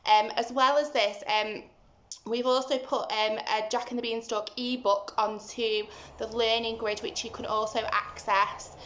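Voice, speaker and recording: A woman teacher talking simply and deliberately to young children as she explains and reads a storybook aloud. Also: on the high side, slight background noise. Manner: simple, deliberate, instructive, animated when reading. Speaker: early-years school teacher. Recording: roomy, slightly muffled home recording.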